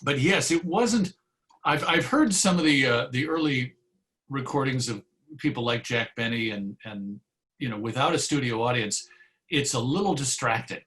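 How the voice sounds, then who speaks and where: A man's voice: medium pitch, expressive, normal speed, fairly bright tone, low-quality recording. Reflective man in casual, discursive conversation. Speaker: guest, old-time radio host and historian. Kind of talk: casual interview conversation about history.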